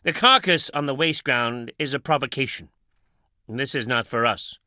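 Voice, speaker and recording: An actor playing a grave, brooding middle-aged patriarch in a video game, speaking solemnly in formal, stilted phrases. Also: male voice, medium pitch, normal speed, sharp tone, dry recording, clean studio sound. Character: grave, brooding town patriarch guarding his wife's memory. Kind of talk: dramatic video game voice acting.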